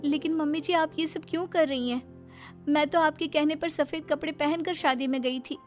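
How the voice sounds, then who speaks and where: Lady doing a meek young daughter-in-law, very high voice, cartoon drama, music behind. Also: steady tone, normal speed, close to an everyday voice. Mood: timid, puzzled. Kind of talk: cartoon moral-story voice acting. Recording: background music under the voice.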